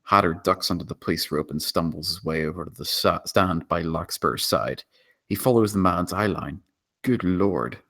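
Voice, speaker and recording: A man's voice: deep voice, moderate pace, fairly bright tone. A man narrating stage directions in a dramatic screenplay table read.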